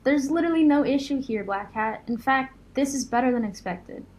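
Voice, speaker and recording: A woman's voice: sits high, lively intonation, a little fast, darkish tone. A woman playing a reasonable, plain-spoken young supervillain in an audio drama, conciliatory in manner. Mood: exasperated.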